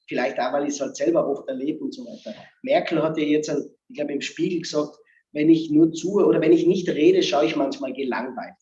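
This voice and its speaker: Animated Austrian man with a mellow voice. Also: on the high side, reverberant, lo-fi sound. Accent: Austrian German. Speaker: humor speaker and seminar guest. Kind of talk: lively casual talk-show chat.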